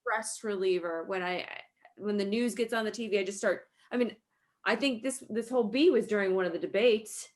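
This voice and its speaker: A woman's voice: mid-range voice, a little fast. A woman with a mid-range voice chats informally in a group discussion. She speaks as the host, in an everyday conversational style. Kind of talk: casual group chat about embroidery.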